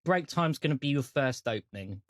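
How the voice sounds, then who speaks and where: A man's voice: medium pitch, animated, fairly quick, fairly bright tone, no echo. British man narrating as game master. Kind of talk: narrating a tabletop role-playing game.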